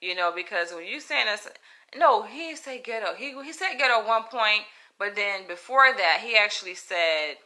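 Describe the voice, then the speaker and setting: A woman with a Southern US accent, telling a story to camera in a frank, animated, profane way. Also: medium pitch, normal speed. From Florida, US. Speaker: comedian. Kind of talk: casual storytelling vlog.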